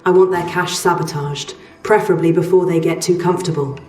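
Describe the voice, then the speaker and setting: Game casting: actress as guarded woman trader, lowish voice, measured and terse, slightly distant in a room. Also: steady tone, darkish tone, lightly acted. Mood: guarded, stern. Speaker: quest-giving trader. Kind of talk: video game voice acting. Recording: roomy, slightly distant, music behind.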